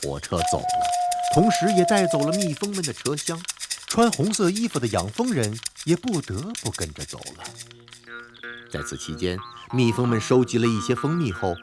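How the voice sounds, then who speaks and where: A man narrating an animated folk tale in an expressive, scripted storytelling style. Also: medium pitch, moderate pace. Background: music.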